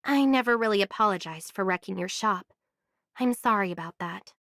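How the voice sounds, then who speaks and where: A woman voicing a calm, curious young adventurer in an anime video game, speaking in a measured, sincere way. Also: mid-range voice, no room sound, studio quality, lightly acted. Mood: sincere, steady.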